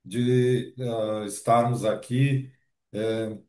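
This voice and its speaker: A man's voice: medium pitch, fairly even intonation, a little mellow, reverberant. A man giving formal, hesitant opening remarks on behalf of a university. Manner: slow, hesitant. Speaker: university representative.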